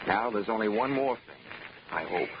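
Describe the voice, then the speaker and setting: A man's voice: on the low side, lively intonation, unhurried, noisy background. An actor playing a wry, hard-boiled investigator who narrates his case with dry, clipped wisecracks in 1940s radio style. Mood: stern. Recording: muffled 1940s radio with music.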